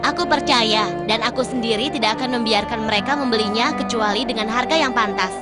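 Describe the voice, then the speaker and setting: An earnest young male disciple, voiced by a woman in a cartoon, who speaks in confidence. The voice sits high. Loud music runs underneath. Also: fairly quick, close to an everyday voice.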